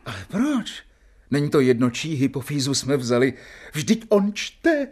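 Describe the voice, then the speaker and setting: An actor playing an earnest young doctor in a radio drama, explaining and keeping a diary. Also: male voice, on the high side, sing-song, a little mellow. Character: earnest young male doctor, diligent and eager. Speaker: doctor, professor's assistant.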